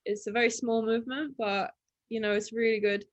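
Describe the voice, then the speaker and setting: A young woman who grew up in England after early childhood in Latvia, a Russian speaker, chatting casually as a podcast guest and pausing often to find her words. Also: on the high side, no echo, lo-fi sound. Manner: relaxed, thinks aloud with many fillers. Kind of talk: casual interview chat.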